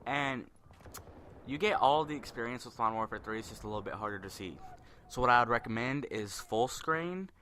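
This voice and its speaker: A young man with a deep voice giving rambling, spontaneous commentary over gameplay, a little self-conscious. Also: animated, fairly quick, sharp tone, a little hiss or hum.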